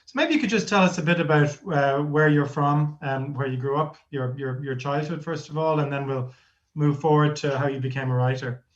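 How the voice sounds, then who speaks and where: A man's voice: a little high, a little fast, highs cut off. Hesitant man interviewing. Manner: thoughtful, hesitant, many ums. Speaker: professor and interviewer. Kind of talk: hosting an interview.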